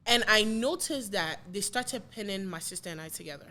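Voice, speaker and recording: A woman's voice: medium pitch, lively intonation, pushed and bright. A woman in her late twenties talking candidly in a casual discussion, often restarting her sentences. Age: late 20s. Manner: candid, talks in quick bursts with restarts.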